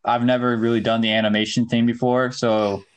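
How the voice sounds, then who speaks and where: A man's voice: medium pitch, level tone, a little fast, smooth. A young man from Ohio hosting a casual podcast, easygoing and using slang. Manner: laid-back, casual slang. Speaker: podcast host. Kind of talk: casual chat.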